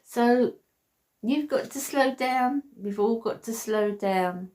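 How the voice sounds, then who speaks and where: A woman's voice: on the high side, slow pace, a little mellow. A woman, chatting earnestly to her viewers, with lots of ums and restarts. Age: 60s. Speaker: beauty vlogger. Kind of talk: heartfelt casual vlog chat. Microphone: basic camera mic.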